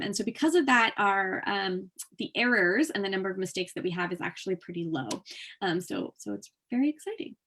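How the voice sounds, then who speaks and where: A woman's voice: medium pitch, pushed and bright. A woman presenting at a workshop, explaining things informally and conversationally as she takes questions from participants. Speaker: workshop presenter for a museum transcription program.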